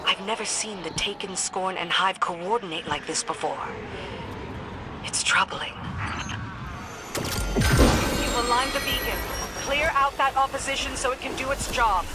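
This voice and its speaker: A woman playing a brisk, composed mission operator in a video game, supportive and businesslike. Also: a little high, loud music behind the voice, natural voice. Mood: concerned. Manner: crisp, businesslike briefing. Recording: loud noise and vehicle-like ambience behind.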